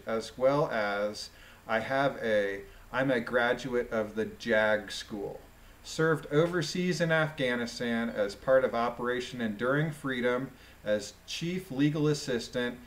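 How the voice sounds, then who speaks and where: Man reading a transcript aloud, deliberately. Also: medium pitch, rough recording. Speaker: online commentator reading court transcripts aloud. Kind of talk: reading a transcript aloud with brief informal commentary.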